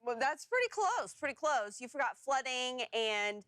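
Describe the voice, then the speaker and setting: A woman's voice: sits high, big pitch swings, normal speed, fairly bright tone, dry recording. Female TV forecaster, close dry sound. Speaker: TV weather forecaster. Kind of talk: casual live weather report and banter.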